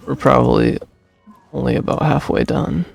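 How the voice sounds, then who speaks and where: A man with a gravelly, husky voice, a game streamer who reads story text and comments casually into a close mic. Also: medium pitch, fairly even intonation, moderate pace, no room sound, faint music behind.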